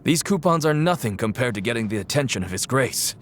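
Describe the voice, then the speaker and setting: A man's voice: medium pitch, lively intonation, brisk, fairly bright tone, a little hiss or hum, natural voice. A man voicing a friendly, earnest young man in a video game, eager and easily embarrassed. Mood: insistent.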